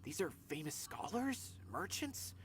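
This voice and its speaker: A man's voice: sits high, sing-song, measured pace, creaky, a little hiss or hum, heavily acted. A man voicing an earnest, thoughtful investigator in a video game, explaining things carefully. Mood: serious. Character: earnest, cautious male investigator, troubled and thoughtful. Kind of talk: video game character dialogue.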